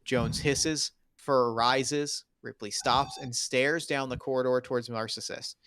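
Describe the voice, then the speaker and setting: A man's voice: medium pitch, fairly even intonation, unhurried, fairly bright tone, no room sound. A man narrating screenplay stage directions in dramatic, clipped phrases for a table read.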